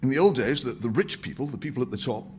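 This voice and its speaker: A man's voice: medium pitch, animated, fast talker, a little mellow, slight background noise, voice pushed up, natural voice. A man voicing an earnest, patient questioner who presses his points articulately in a novel reading. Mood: earnest, probing.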